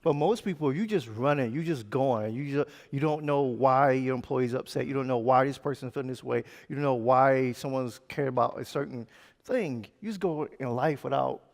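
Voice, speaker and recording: A man interviewing a guest on stage, speaking earnestly, restarting words and rushing in quick bursts. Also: mid-range voice, creaky. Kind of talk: fireside chat on stage. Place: conference stage in a large hall. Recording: long hall reverb, stage mics.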